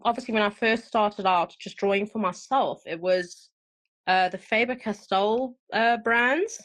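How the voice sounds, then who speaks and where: A woman's voice: mid-range voice, unhurried, fairly bright tone. A woman with a South African twang, a guest artist chatting casually on a podcast, rambling with fillers and restarts.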